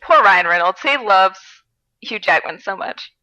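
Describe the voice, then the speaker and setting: A woman's voice: mid-range voice, expressive, measured pace, bright, forceful tone, no echo, rough recording. A woman co-hosting a podcast, chatting casually about films with dramatic, exclamatory fan enthusiasm. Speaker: podcast co-host.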